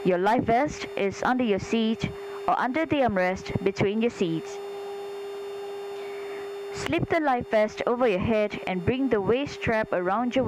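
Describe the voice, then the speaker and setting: A woman flight attendant reading scripted airline safety announcements in a formal, measured, instructional way. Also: a little high. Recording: clear engine hum behind cabin announcement. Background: steady aircraft hum.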